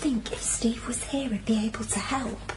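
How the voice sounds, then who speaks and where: A woman acting in a radio drama as a concerned, supportive friend, speaking gently and earnestly. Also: a little high, steady tone, moderate pace, whispered, lightly acted. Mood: coaxing. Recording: noisy radio drama ambience.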